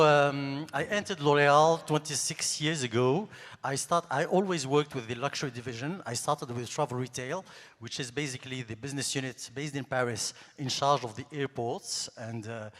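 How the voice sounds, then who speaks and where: A man's voice: medium pitch, fairly bright tone. Methodical man presenting in a reverberant hall. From born in Nigeria, grew up in Greece. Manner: earnest, methodical, lists facts step by step. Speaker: luxury executive presenting to students. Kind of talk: conference presentation. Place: large conference hall.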